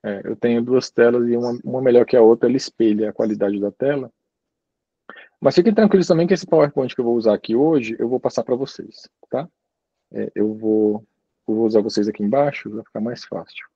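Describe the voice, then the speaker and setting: A man giving an informal university lecture over a video call, chatty and relaxed, often ending phrases with little checking tags. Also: medium pitch, fairly quick, husky, no echo, highs cut off. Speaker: guest lecturer.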